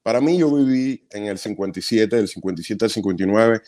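Earnest Cuban man telling stories. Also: fairly low pitch. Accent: Cuban Spanish. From Cuba. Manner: earnest, animated storyteller with quick bursts. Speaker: stage actor, guest. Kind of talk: casual interview storytelling.

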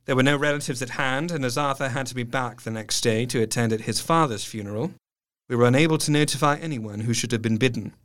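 An actor playing a sorrowful, earnest doctor who narrates a gothic tale in measured, literary phrases. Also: male voice, medium pitch, fairly bright tone, natural voice. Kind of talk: dramatic scripted narration.

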